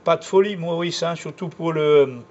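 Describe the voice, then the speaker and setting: Male CB radio operator, higher voice, casual repetitive chatter, dull lo-fi transmission. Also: steady tone, moderate pace, a little mellow. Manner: repetitive, colloquial, punctuated with tag words. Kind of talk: casual radio contact chat.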